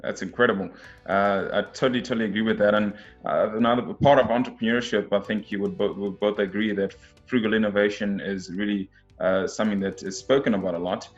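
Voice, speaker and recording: A man's voice: fairly low pitch, fairly even intonation, fast. A man hosting an interview who asks his questions enthusiastically, often with "uh" fillers and repeated words. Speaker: interview host. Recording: dull lo-fi audio with faint guitar music.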